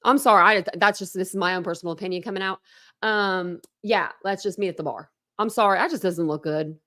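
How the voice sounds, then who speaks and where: A woman's voice: medium pitch, brisk, pushed and bright. A woman runs a true crime livestream in loose, chatty commentary. She rambles, trips over her own starts and circles back. The delivery stays casual and unscripted. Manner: rambling, chatty, self-interrupting with laughs. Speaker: true crime channel host.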